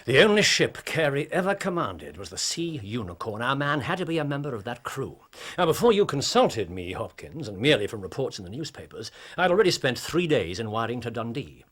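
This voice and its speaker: A brilliant, self-assured detective for a radio play. His husky voice moves with lively pitch, and he explains in a crisp, precise way. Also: fairly quick, hardly any pauses. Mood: explaining. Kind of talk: radio drama acting.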